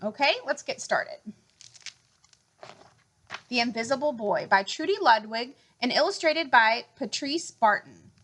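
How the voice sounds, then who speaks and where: A woman reads a picture book aloud for children. Her voice sits fairly high and is notably bright and forward. She tells the story expressively, with deliberate phrasing. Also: expressive.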